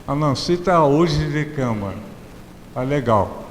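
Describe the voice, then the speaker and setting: A man with a Brazilian accent and a notably high voice hosts a conversational interview. He speaks slowly and playfully, with emphatic, drawn-out phrases. The sound is very reverberant, like a large hall. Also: animated. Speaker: talk show host. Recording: reverberant hall, clear background noise.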